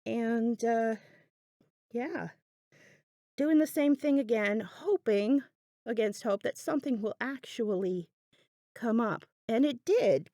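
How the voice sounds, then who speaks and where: A woman's voice: a little high, a little mellow, dry recording, studio quality. A woman giving a casual craft tutorial voiceover, chatty and thinking aloud as she goes. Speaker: craft channel host.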